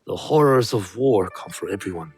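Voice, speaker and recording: An actor playing a grave, gentle middle-aged father and martial mentor in a video game, measured and instructive. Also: on the low side, expressive, husky, exaggerated voice. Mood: grave concern. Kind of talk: dramatic video game cutscene acting.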